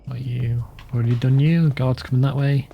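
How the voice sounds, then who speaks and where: A man's voice: mid-range voice, fairly even intonation, fairly quick, creaky, lo-fi sound, soft background music. A man giving casual, offhand gameplay commentary, muttering to himself as he plays. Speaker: let's play commentator.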